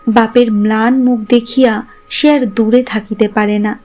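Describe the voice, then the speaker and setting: A woman's voice: on the high side, fairly even intonation, measured pace, a little mellow, faint music behind. A woman narrating a literary story a script.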